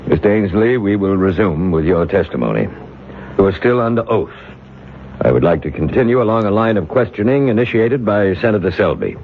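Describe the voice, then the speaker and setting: Stern low-voiced committee chairman. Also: expressive, brisk. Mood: stern, pressing. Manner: formal, authoritative, pressing. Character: stern, formal male committee chairman and senator. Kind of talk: radio drama acting. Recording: tinny, noisy vintage radio recording.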